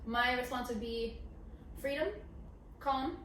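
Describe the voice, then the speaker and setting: A woman's voice: a little high, slow pace, a bit bright, slight background noise. A young American woman co-hosting a vlog in casual, informal chat with a lightly joking manner. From United States. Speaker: co-host of a couple's vlog, content creator. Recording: very echoey room, distant mic, faint music.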